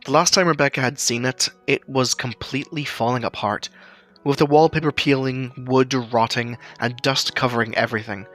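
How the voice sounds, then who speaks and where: A man's voice: medium pitch, fairly even intonation, normal speed, fairly bright tone. A man reading scripted story narration as the narrator of a fiction tale.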